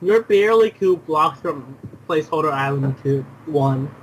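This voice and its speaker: A man's voice: on the high side, lively intonation, moderate pace, a little hiss or hum, poor audio. A young man chatting casually during an online game, often repeating himself and exclaiming. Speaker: gamer.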